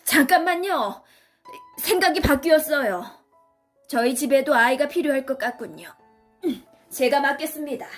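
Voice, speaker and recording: A woman's voice: sits high, expressive, moderate pace, pauses often, faint music behind. A woman voicing a stern but kind-hearted farm woman in a children's storybook, firm and proper in manner. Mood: resolute. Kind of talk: children's storybook character acting.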